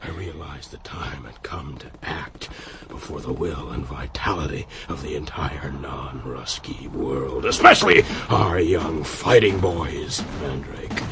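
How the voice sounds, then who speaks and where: Deep, gravelly paranoid American commander. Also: male voice, a little slow, exaggerated voice. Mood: brooding. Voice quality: gravelly, creaky. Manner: swings from hushed menace to shrill ranting. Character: paranoid, ranting American military commander, obsessed with conspiracy. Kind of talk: satirical radio play acting. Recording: noisy radio drama recording.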